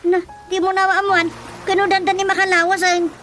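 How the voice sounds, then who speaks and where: A woman's voice: very high-pitched, flat delivery, fairly quick, a bit bright, slight background noise. A woman with a Filipino accent playing a nagging, gossipy chairman's wife in a radio drama, pressing and scolding. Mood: gossipy, alarmed. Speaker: actor. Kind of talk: Ilocano radio drama comedy acting. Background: music.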